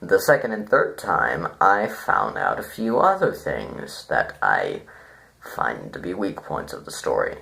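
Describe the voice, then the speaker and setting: A man giving an informal, rambling critique to viewers, deadpan and self-deprecating, halting as he thinks aloud. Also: extremely low pitch, creaky, rough recording. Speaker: video reviewer.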